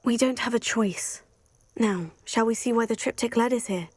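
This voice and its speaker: A woman's voice: on the high side, moderate pace, darkish tone, a little hiss or hum, natural voice. A young woman playing an earnest, level-headed teenage witch in a video game. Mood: firm. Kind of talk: video game cutscene acting.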